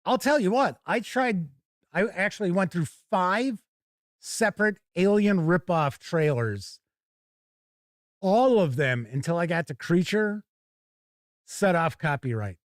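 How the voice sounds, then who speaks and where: A man's voice: sits high, expressive, measured pace, pauses often, no echo, studio quality. A middle-aged man hosting a tabletop gaming livestream, chatting casually in a rambling, informal way. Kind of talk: casual livestream chat and product first look.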